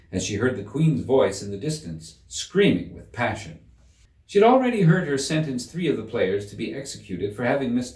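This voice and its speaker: Man, audiobook narrator, animated storytelling, medium pitch, roomy echo, children's story style. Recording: roomy echo, slightly distant mic.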